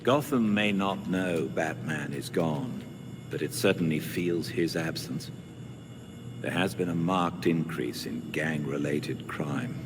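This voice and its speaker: Deep, courteous older butler. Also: male voice, noisy background. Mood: gently advising. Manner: formal, measured, dry. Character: courteous, dryly witty older butler and mentor. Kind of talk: video game cutscene acting. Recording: music behind.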